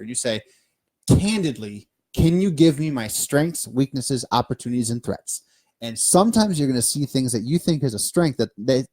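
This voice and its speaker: A man with a Midwestern American accent co-hosting a business podcast, talking in an animated, emphatic way and listing points off one by one. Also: mid-range voice, breathy edge. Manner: animated, emphatic, lists things off. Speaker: podcast co-host. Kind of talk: casual business chat. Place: studio.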